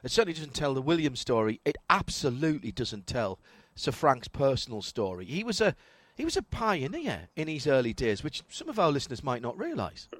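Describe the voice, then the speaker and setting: A man's voice: mid-range voice, very animated, a little fast, fairly bright tone, no room sound. Hesitant male radio host, dry sound. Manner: hesitant, fillers and stammers. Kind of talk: radio talk show hosting.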